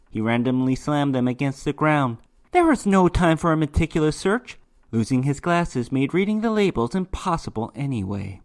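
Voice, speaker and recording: A man narrating a fantasy horror short story as a dramatic reading, with theatrical, emphatic delivery. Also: medium pitch.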